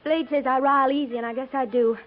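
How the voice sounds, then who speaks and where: Woman doing a chatty folksy young american frontier wife, highish voice, old narrow tinny radio show. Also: fairly even intonation, quick pace, slight background noise, close to an everyday voice. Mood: fretful, chatty. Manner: folksy, earnest, emphatic. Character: chatty, easily riled young frontier bride, former schoolteacher. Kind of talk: 1950s American radio drama acting. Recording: tinny, narrow-band 1950s radio recording.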